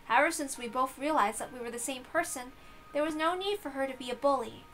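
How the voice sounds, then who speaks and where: A woman narrates a visual novel as its reader. Her voice sits high, and the delivery is scripted and dramatic. Soft music runs behind her. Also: quick pace.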